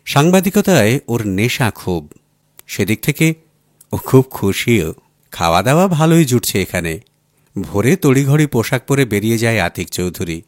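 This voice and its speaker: A man's voice: medium pitch, sing-song, normal speed, no room sound, studio quality. A man reading a literary story aloud as a dramatised audiobook narration. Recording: music playing behind the voice. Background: music bed.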